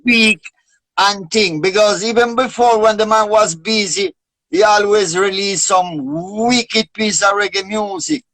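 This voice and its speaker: A man's voice: high voice, unhurried, a bit bright, no room sound, low-quality recording. A man from Italy, asking questions in a casual live video chat in English, with Jamaican patois phrases mixed in. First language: Italian. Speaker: guest interviewer.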